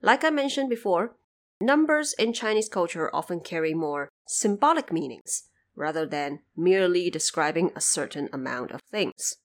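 A woman's voice: fairly low pitch, expressive, normal speed. A woman podcast host giving a scripted but informal explanatory narration.

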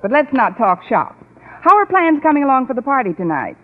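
An actress playing a quick-witted, sarcastic middle-aged English teacher in a 1940s radio comedy. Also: female voice, high-pitched, big pitch swings. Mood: sardonic. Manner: dry, sarcastic wisecracks. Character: wry, quick-witted woman high school English teacher. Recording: scratchy old radio broadcast, tinny, audience behind.

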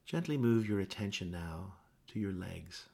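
A man gently leading a guided meditation with measured instructions and pauses. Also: low-pitched, lively intonation, gravelly.

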